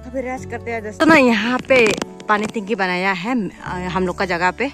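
A woman vlogger in her thirties speaks with a Northeast Indian accent at a middle pitch. She talks casually to her viewers, recorded outdoors on a phone, with clear music behind her. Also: fairly even intonation, normal speed. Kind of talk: casual vlog narration to viewers. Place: outdoors in a rural village. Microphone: phone at arm's length.

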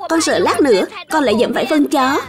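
A high, childlike little boy from a children's cartoon. He is eager, curious and earnest, exclaiming often. Music plays clearly behind the dubbed voice. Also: very animated, quick pace. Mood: upset.

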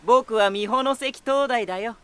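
An actor playing a teasing, talkative lighthouse spirit in an anime-style voice drama, boyish and self-assured with an unsettling edge. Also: male voice, extremely high pitch, a little slow, bright, forceful tone, clear, resonant tone, exaggerated voice. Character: playful male lighthouse spirit, teasing, talkative, eerily battle-hungry.

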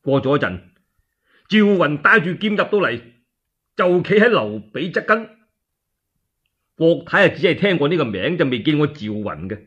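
Cantonese guy telling a radio story, high-ish husky voice, very lively, chatty. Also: normal speed, pauses often. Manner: animated, chatty asides to listeners. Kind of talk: dramatic colloquial radio storytelling. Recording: dull lo-fi radio recording.

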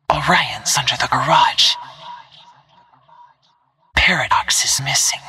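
Stealthy game assassin: female, very breathy half-whisper, slow and controlled, terse tactical callouts. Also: low-pitched, pushed and bright, full character voice. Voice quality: breathy, husky, near-whispered. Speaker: video game character voice.

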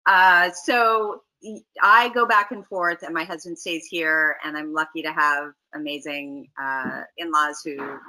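A woman's voice: deep voice, steady tone, a little slow, pushed and bright, rough recording. A woman in her forties from Canada, chatting easily as a guest on a video call interview, laughing often. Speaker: actress guest. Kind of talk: casual interview chat. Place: home room, video call.

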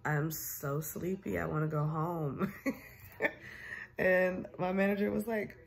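A woman's voice: fairly low pitch, slow, vocal fry. A young woman chatting casually and candidly to her phone camera at home, tired and getting over a sinus infection. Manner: candid, animated storytelling with laughs. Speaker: vlogger. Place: small room at home, seated in armchair. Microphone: phone camera at arm's length.

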